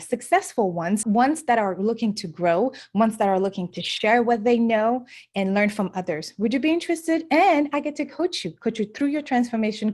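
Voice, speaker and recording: A woman coach giving a chatty, upbeat promotional spot for her online community. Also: darkish tone. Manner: upbeat, chatty sales pitch.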